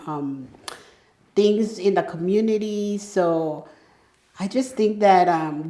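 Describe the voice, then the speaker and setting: A middle-aged woman from El Salvador speaks in a low, warm voice, chatting as an interview guest. She talks in a loose, conversational way, full of "you know"s and restarts. The room around her is noticeably echoey. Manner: conversational, frequent fillers and restarts. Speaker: guest entrepreneur. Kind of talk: casual interview talk.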